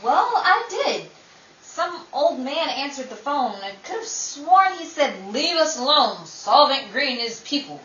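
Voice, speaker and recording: Woman acting a bright, flirtatious former cheerleader. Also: high-pitched, sing-song, unhurried, exaggerated voice. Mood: coy. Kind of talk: old-time radio comedy-drama acting. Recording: dull, echoey, distant, faintly noisy.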